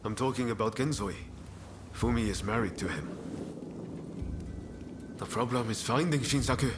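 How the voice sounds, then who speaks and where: A man's voice: medium pitch, normal speed, noisy background, lightly acted. An actor playing an earnest, urgent male rebel comrade in a video game, directive and concerned. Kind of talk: video game cutscene acting.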